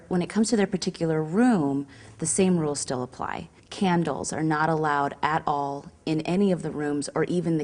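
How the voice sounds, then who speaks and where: A woman's voice: deep voice, a little mellow. A woman giving instructional safety advice in a hesitant, explanatory way. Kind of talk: semi-scripted instructional explanation.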